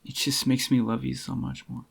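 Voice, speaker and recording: A man playing a possessive, devoted boyfriend in a soft-spoken, intimate ASMR roleplay, tender and obsessive, with a groggy, gravelly voice. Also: mid-range voice, little pitch movement. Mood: devoted, adoring. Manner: tender, slow reassuring endearments to a lover.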